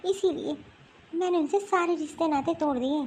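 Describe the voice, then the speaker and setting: A very high-pitched young wife played by a woman in a homemade cartoon melodrama. She is hurt and unbending, stressing her grievances in drawn-out phrases. Some noise sits behind the voice. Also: darkish tone. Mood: hurt, resolute. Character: hurt, resolute young married woman refusing her in-laws. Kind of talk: amateur Hindi cartoon family melodrama.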